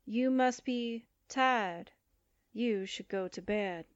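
Woman acting a very slow, entranced young woman. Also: fairly high pitch, lo-fi sound. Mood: blank, detached. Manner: very slow, blank, trance-like, echoing commands. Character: hypnotized young newspaperwoman in an obedient trance. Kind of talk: 1940s radio drama acting.

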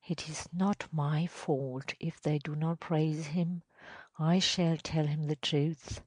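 A woman voicing a reluctant, deferential male village elder in a storybook reading, reporting in a measured, hesitant way. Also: deep voice, steady tone, darkish tone, no echo.

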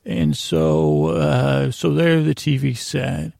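A man hosting a podcast with a meandering, halting, self-deprecating personal monologue that trails off mid-sentence, in a gravelly voice. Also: fairly high pitch, very animated, darkish tone, no echo, studio quality. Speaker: podcast host. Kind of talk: rambling personal storytelling monologue.

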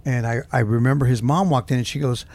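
Gravelly-voiced man rambling through a story. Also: medium pitch, fairly even intonation, fast, darkish tone. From Southern California. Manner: rambling, searching for words. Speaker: guest musician. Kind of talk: casual interview. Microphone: handheld mic held close.

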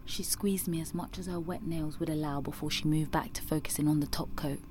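A woman's voice: low voice, brisk, lightly acted. A woman playing an anxious, wry young woman in an audio drama monologue, speaking candidly and conversationally in a London British accent. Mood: reflective, uneasy. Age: young adult. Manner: candid, self-mocking, sweary, animated.

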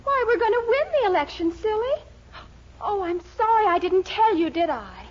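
An actress playing a brisk, confident, scheming young American sweetheart in a 1940s radio comedy, bubbly and coaxing. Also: female voice, very high-pitched, big pitch swings. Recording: muffled 1940s radio recording.

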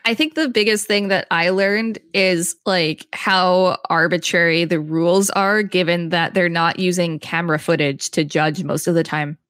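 A woman's voice: fairly low pitch, steady tone, normal speed, hardly any pauses, fairly bright tone, clear, resonant tone, no echo, studio quality. A woman co-hosting a podcast, reading encyclopedia text aloud with casual asides. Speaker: podcast co-host.

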